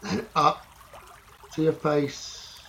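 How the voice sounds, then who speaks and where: Man guiding a slow, soothing meditation. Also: on the high side, gravelly, bright, forceful tone. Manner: slow, soothing, short phrases with long pauses. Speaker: meditation teacher. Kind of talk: guided meditation narration. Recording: running stream water noise behind voice. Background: trickling stream water ambience.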